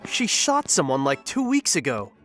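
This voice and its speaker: A cocky, goofy young joker played by a man in an audio drama. His delivery is playful and dramatic, swinging widely in pitch over faint music. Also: wildly swooping pitch, moderate pace, a bit bright.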